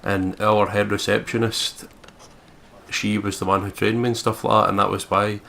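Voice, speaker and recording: Low, creaky Scottish man. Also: flat delivery, normal speed, pushed and bright. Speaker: let's play commentator. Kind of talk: casual gaming commentary and reading of game narration.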